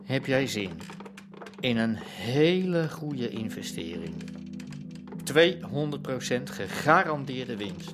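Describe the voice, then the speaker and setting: Animated, cagey underworld man. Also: mid-range voice, takes it slowly, over music. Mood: scheming, aggrieved. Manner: colloquial, protesting, evasive. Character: cagey Rotterdam underworld man, indignant, scheming. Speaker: actor in an audio drama. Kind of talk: naturalistic Dutch radio drama dialogue.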